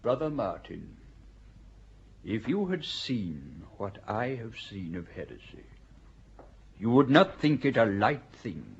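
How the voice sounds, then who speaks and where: An actor playing a stern elderly male inquisitor who lectures a court in a solemn, measured, sermon-like way. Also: medium pitch, husky, heavily acted. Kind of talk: audio drama acting. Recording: dull lo-fi recording.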